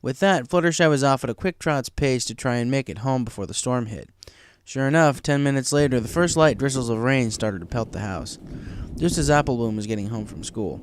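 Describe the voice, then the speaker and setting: A man's voice: medium pitch, a little fast, smooth. A man reading scripted story narration as the narrator of a fan tale.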